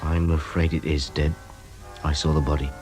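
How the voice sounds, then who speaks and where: A man's voice: rumbling low, level tone, moderate pace, over music. A man playing a wry, self-doubting police detective, confiding in a radio crime drama. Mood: awkward, grave. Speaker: narrator and lead detective character. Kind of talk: radio crime drama, first-person narration and dialogue.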